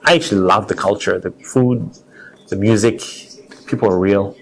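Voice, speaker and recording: A man with a fairly low voice talks as a guest in an interview. He tells personal stories and reflects earnestly, in a conversational, unscripted way. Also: moderate pace, a little hiss or hum, poor audio. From Zimbabwe. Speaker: interview guest, car designer.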